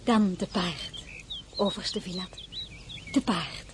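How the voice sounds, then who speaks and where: An actress playing a dignified, wistful and wary crown princess in a radio drama, speaking formally and measured, often dropping to a breathy murmur. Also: female voice, on the low side, noisy background. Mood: grave, restrained. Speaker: lead actress and first-person narrator. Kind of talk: Dutch radio drama acting.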